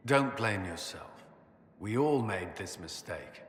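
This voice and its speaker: Stern military commander, echoing hall. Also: male voice, lowish voice, lively intonation, slow pace, fairly bright tone. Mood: concerned. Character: armoured military commander, stern, disappointed, earnest man. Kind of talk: dramatic video game acting. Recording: echoey stone hall reverb, noisy background.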